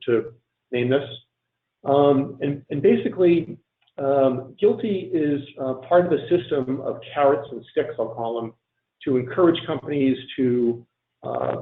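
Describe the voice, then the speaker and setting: A man presenting on a tax webinar, halting and full of ums and restarts, a bit hoarse from losing his voice. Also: mid-range voice. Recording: echoey, tinny phone-line audio.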